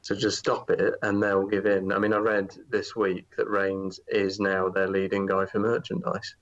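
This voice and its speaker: A man's voice: low-pitched, little pitch movement, moderate pace, a bit bright, highs cut off. A man guesting on a casual wrestling podcast, explaining his opinions at length.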